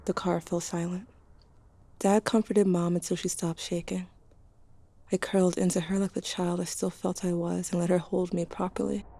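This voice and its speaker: A woman's voice: lowish voice, warm tone, slightly rough. A young woman playing a grieving, anxious teenager, narrating an intimate, wry diary to a dead sibling in an audio drama.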